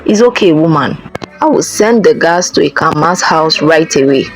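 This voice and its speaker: A woman's voice: deep voice, lively intonation, moderate pace. A woman voicing a stern village king who fires off questions and gives orders. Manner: demanding, questioning.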